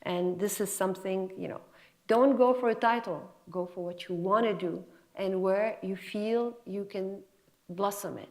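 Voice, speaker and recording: A woman's voice: medium pitch, a little slow. A middle-aged woman gives a motivational lecture to students in an emphatic, conversational, encouraging way. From Lebanon and France. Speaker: physician scientist giving a guest lecture.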